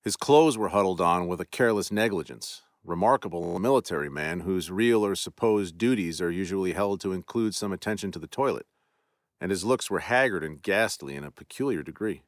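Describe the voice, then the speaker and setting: A man hosting a podcast, narrating a classic ghost story in a measured, deliberate way. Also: sits low, animated, no echo, clean studio sound.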